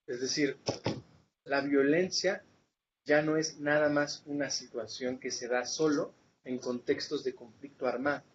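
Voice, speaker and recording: A man leading an academic seminar, explaining didactically and checking in with rhetorical questions. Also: fairly high pitch. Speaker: lecturer leading a seminar session. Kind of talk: academic lecture with questions to participants. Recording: muffled, noisy room recording.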